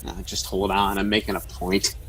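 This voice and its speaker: A man's voice: low-pitched, monotone, normal speed, fairly bright tone, a little hiss or hum. An actor playing a blunt, flirtatious man who talks like a probing amateur therapist in an audio drama. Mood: firm, reassuring. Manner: frank, probing, explanatory.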